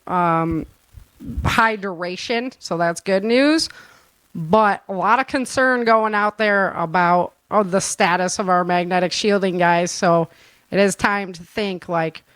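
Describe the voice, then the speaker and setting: A woman hosts a relaxed chat show in an informal, slangy way. Her voice sits at a middle pitch, and the sound is very dry, with no room around it. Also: no echo. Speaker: show host and producer. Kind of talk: casual live chat show talk.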